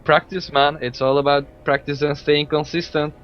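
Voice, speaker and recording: A man from Belgium, not a native English speaker, casting a live esports match in a relaxed, chatty way with many fillers. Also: a little high, normal speed, slight background noise. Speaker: esports caster. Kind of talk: live game commentary. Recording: dull lo-fi stream audio, faint music.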